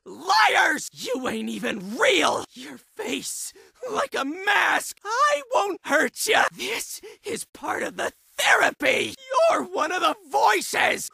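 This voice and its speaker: Unhinged asylum inmate, very high screaming, heavy rasping fry, paranoid, tormented, frantic game-enemy ranting. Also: sharp tone, no room sound, full character voice. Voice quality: screechy, gravelly scream. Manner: ranting, frantic, foul-mouthed bursts. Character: raving, paranoid, tormented asylum patient, violent and terrified. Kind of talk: shouted video game enemy barks.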